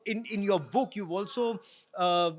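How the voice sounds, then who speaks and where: A man's voice: sits high, unhurried. A man with an Indian accent hosting an interview in a conversational, agreeable way. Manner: agreeable, interjecting short affirmations. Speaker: interviewer, host.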